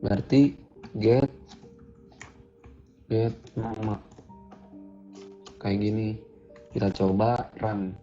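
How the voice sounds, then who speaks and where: A man's voice: on the low side, fairly even intonation, very slow, long pauses, mellow. A man teaching a programming lesson over a screen recording, talking casually and thinking aloud as he codes. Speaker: coding instructor. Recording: dull lo-fi audio with faint music.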